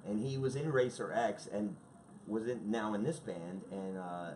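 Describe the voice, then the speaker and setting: Low-voiced man telling profane rock stories. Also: measured pace, a little hiss or hum. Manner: excitable storyteller, swears freely. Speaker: guest sharing favorite albums. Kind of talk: casual profane chat.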